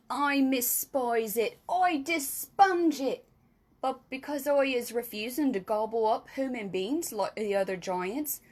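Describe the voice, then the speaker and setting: A woman voicing a kindly, very old male giant in a storybook reading, booming and drawn out, mangling his words and grammar. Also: darkish tone, close to an everyday voice. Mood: grand, disgusted.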